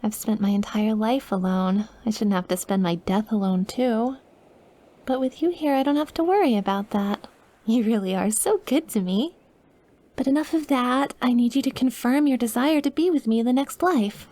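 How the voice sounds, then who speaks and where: A woman's voice: fairly high pitch, moderate pace, mellow, natural voice. A woman playing an obsessive, lovesick, dying young witch in an intimate ASMR roleplay, with a clingy, pleading manner. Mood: tender, pleading.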